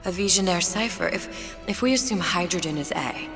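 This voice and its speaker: A woman's voice: fairly low pitch, moderate pace, close to an everyday voice. An actress playing a clever, anxious and sardonic young woman in a dramatic video game. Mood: thinking aloud. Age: young adult. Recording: long reverb, loud music behind.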